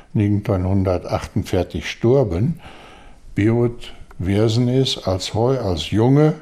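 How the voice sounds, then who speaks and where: An older man, telling a story slowly in an interview, halting with fillers and restarts. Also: fairly low pitch, a little mellow. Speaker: local dialect enthusiast.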